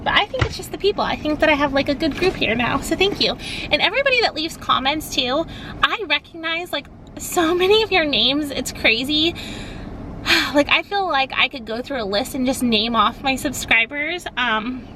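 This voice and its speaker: A woman chatting casually as a craft vlogger in a nasal voice, with a bubbly, expressive, gushing manner. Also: sits high, normal speed, noisy background. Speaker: craft and home decor YouTuber. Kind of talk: casual vlog chat.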